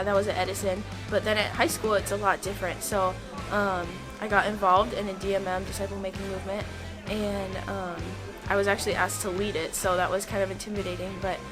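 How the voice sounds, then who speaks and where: A teenage girl speaks informally as a student leader, giving a personal testimonial. Her voice is medium in pitch, with music clearly heard behind it.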